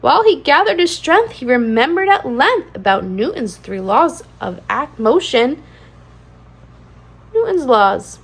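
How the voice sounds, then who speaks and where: Animated high-pitched woman reading a storybook. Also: a little slow, breathy edge. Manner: singsong, rhyming cadence with expressive emphasis. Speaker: children's read-aloud channel host. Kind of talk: animated storybook read-aloud for young children.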